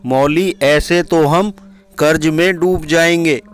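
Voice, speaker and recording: A man's voice: a little high, steady tone, slow pace, clear, resonant tone, soft background music, voice pushed up. A man voicing a weary, complaining village farmer in a cartoon story, plaintive and burdened. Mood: worried complaining. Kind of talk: Hindi cartoon story dialogue.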